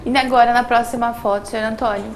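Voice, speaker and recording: A woman's voice: a little high, fairly even intonation, fast talker, slight background noise. A woman, interviewing and giving short, polite cues to go on. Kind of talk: brief interview prompts.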